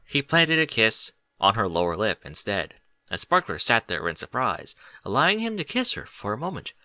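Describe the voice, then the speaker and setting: A man reading a story aloud as an expressive audiobook narrator. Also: on the low side, normal speed, no echo.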